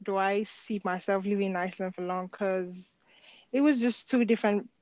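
A woman's voice: mid-range voice, fairly even intonation, moderate pace, darkish tone, no echo, lo-fi sound. A young woman from Nigeria, a podcast guest chatting casually and friendly, often laughing, with filler words. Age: young adult. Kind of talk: casual chat.

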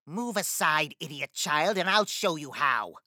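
A woman's voice: sits low, animated, a little slow, pushed and bright, no echo, clean studio sound, voice pushed down, exaggerated voice. A woman voicing a cruel, greedy old witch who scolds and sneers in a storybook reading. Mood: sneering.

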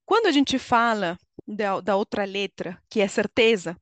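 A woman's voice: mid-range voice, animated, a little slow, no echo. A middle-aged woman with a Venezuelan Spanish accent, explaining as a podcast guest. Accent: Venezuelan Spanish accent in Portuguese. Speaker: guest, HR consultant and coach. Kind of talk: explanatory podcast interview talk.

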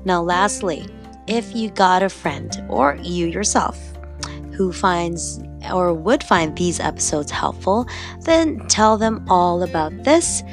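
A woman's voice: fairly low pitch, lively intonation, slow, over music. A young woman from the Philippines with a slight Filipino accent, hosting her own podcast in a chatty, upbeat way. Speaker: podcast host. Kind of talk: casual solo podcast chat.

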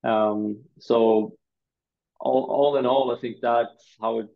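A Swedish man in his thirties, a designer answering interview questions in a thoughtful, halting way, often repeating words. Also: mid-range voice, steady tone, vocal fry, narrow, tinny audio. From Sweden.

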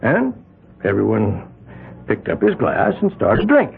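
A man's voice: on the low side, wildly swooping pitch, measured pace, vocal fry, heavily acted. An actor playing a flustered male witness who talks hesitantly and stammers, in a 1970s radio drama. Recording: thin, phone-like old radio recording with faint hiss.

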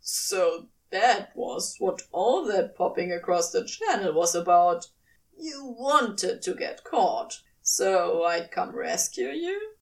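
A woman's voice: mid-range voice, lively intonation, slow, a little mellow, far from the mic, pitched below the performer's own voice. A woman voicing a devoted, wry male demon lover in an audio fan fiction, with a dry, blunt delivery. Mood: incredulous, teasing.

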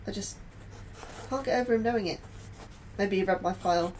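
A woman plays an anxious young science officer in a sci-fi audio drama, speaking at a middle pitch. The delivery is confiding and hesitant, like a private log, with light noise behind it. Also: fairly quick, darkish tone, natural voice, rough recording.

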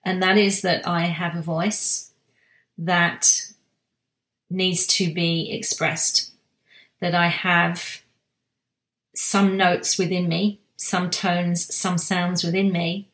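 A woman giving a slow, reflective spiritual talk, soft-spoken, with long pauses and restarts. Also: on the low side, flat delivery, reverberant, low-quality recording. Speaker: spiritual guide sharing monthly energy reading.

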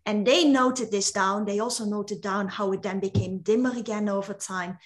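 A woman from the Netherlands, an astronomer explaining supernovas in a relaxed, enthusiastic way during an online call. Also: mid-range voice, normal speed. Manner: enthusiastic, explanatory, some restarts. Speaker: astronomer guest.

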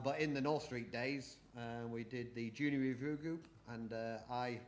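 Chatty middle-aged man reminiscing. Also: mid-range voice. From born in New Zealand, moved to the UK aged eight. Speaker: podcast guest. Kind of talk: casual interview, reminiscing.

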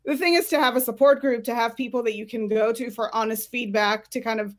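A woman with a somewhat high-pitched voice speaks as a career coach. She gives advice in a conversational way, as a guest in a panel discussion. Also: steady tone, fast talker.

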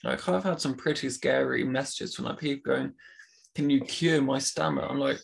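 A man's voice: mid-range voice, fairly even intonation, moderate pace, creaky, breathy edge. A man who stammers, talking casually and openly with many fillers. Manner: stammers, talks openly about it. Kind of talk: casual conversation.

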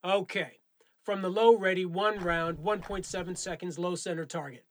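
An actor playing a firm but encouraging firearms range instructor in an audio drama, giving clipped commands with genial authority. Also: male voice, high-pitched, steady tone, normal speed, close to an everyday voice. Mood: commanding. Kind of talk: naturalistic audio drama acting.

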